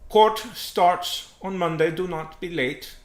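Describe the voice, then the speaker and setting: A man's voice: high-pitched, slow pace, pitched above the performer's own voice. A man acting a stern, curt German man. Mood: cold, stern. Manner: curt, exasperated, commanding. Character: stern, stoic, easily annoyed German man. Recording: roomy, echoing sound.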